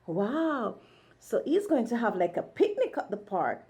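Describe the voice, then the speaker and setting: A woman's voice: on the high side, sing-song, a little slow, warm tone. A woman doing a casual read-along as a mother with a child, in a sing-song way, speaking to the child and to viewers. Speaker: mother reading a picture book with a child.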